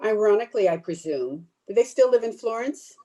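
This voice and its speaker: Blunt, disapproving cousin, animated mellow voice, cutting radio-drama delivery, female actor. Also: mid-range voice, brisk, lightly acted, rough recording. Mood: scornful. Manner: prim, cutting. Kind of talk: old-time radio drama acting. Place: at home, recorded over video call.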